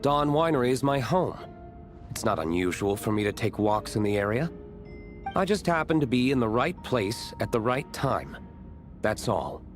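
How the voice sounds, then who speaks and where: A man's voice: mid-range voice, lively intonation, moderate pace, soft background music, close to an everyday voice. A man playing a stern, reserved nobleman in a video game, testifying in a serious, curt manner. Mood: curt, accusing. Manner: serious, matter-of-fact, curt.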